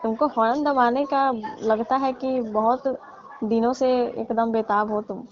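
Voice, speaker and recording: A woman acting as a middle-aged married aunty with a fairly high voice, coy and teasing. She draws out her complaints flirtatiously in a comedy call skit. The audio is dull and lo-fi, like a recorded phone call. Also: steady tone, a little slow, natural voice. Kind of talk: staged intimate phone chat in colloquial Hindi.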